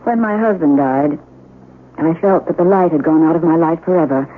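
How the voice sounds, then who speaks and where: A woman's voice: low-pitched, moderate pace, lightly acted. An actress playing a gracious, curious widowed lady of the house in a 1970s radio drama, speaking in a refined, formal way. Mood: playful, probing. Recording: tinny vintage radio recording, background noise.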